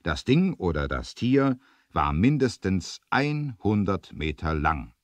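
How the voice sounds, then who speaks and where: Deep-voiced man narrating adventure drama with animated delivery. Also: slow pace, dry recording. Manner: theatrical, emphatic storytelling. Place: recording studio. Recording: dry 1970s vinyl studio recording.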